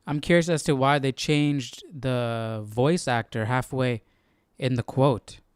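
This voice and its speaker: Clear-voiced man musing casually. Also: medium pitch, slow pace, no echo, clean studio sound. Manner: musing, tags sentences with "right?". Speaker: host. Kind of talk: casual live Bible study commentary.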